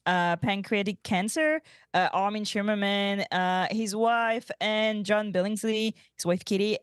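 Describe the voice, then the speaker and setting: A woman co-hosting a podcast, giving announcements in casual chat and searching for her words in a halting way. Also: medium pitch, clean tone, no echo, studio quality. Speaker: podcast co-host.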